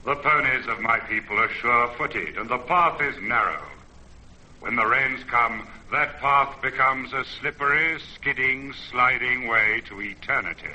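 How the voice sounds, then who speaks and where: An actor playing an ancient mountain spirit, grave and watchful, in a solemn, measured old radio drama delivery. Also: male voice, medium pitch, sharp tone. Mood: ominous. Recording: noisy old radio broadcast recording.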